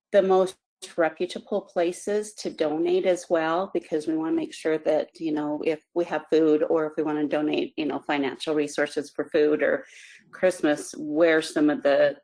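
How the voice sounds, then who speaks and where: A woman's voice: sits low, darkish tone, poor audio. A woman on a video call asking a long, rambling, hedging question.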